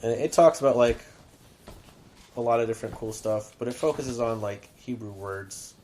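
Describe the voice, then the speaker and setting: A man's voice: mid-range voice, moderate pace, a little mellow, a little hiss or hum. A man co-hosting a podcast, talking in a rambling, casual way with lots of asides. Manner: rambling, chatty, full of "like" asides. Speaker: podcast co-host and middle school teacher. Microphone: podcast microphone.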